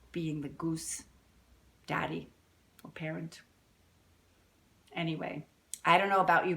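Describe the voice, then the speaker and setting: Woman, children's story-time reader, slow pace, lively pitch swings, chatty asides, simple explanations. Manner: addresses young listeners directly with simple explanations and questions. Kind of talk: reading a picture book aloud with chatty asides.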